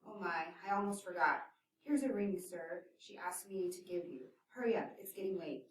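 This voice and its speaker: A woman's voice: lowish voice, normal speed, a little hiss or hum, lightly acted. A woman voicing a fussy, devoted older nurse who frets and exclaims in a scripted audio drama. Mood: bustling. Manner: fretful, exclaiming. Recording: echoey, distant from the mic.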